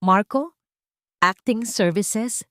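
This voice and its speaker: A woman's voice: medium pitch, slow pace, no echo, studio quality, pitched above the performer's own voice, close to an everyday voice. A woman with a Filipino accent voicing a bitter, wronged son in an accusing, dramatic story reading. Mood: anguished, accusing. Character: bitter, wronged adult son confronting his mother.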